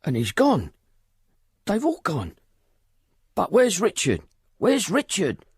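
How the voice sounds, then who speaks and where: An actor playing an anxious, grieving father, husky and gravelly, telling what he sees in halting, repeated phrases in a radio drama. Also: high voice, wildly swooping pitch, background noise, full character voice. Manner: halting, repetitive, hushed storytelling.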